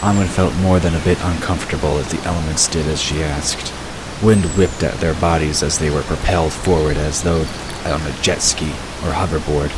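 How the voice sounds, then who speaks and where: Very deep-voiced male narrator. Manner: measured, even storytelling. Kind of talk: scripted audiobook narration. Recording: hissy recording with bird ambience.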